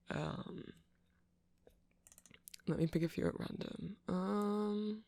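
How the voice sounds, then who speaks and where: A man playing a nerdy, easily flustered vampire who rambles hesitantly and sweetly in a soft-spoken ASMR roleplay. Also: fairly high pitch, vocal fry, no echo. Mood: shy, rambling. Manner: hesitant, rambling, self-deprecating, breaks into soft laughs.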